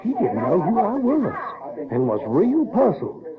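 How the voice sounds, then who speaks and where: An actor playing a folksy, earnest Southern American runaway boy who tells his adventures in halting, colloquial speech. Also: sits high, wildly swooping pitch, a loud crowd, exaggerated voice. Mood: folksy, musing. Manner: halting, colloquial, repeats words. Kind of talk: first-person storytelling in radio drama. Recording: dull 1970s recording with music.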